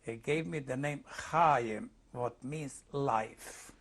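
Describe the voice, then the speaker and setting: An elderly man in his eighties speaks English with a Hungarian accent. He talks very slowly and haltingly, pausing to find the right words. His voice sits at an ordinary pitch for a man. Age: 80s. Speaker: interviewee. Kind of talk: oral history testimony.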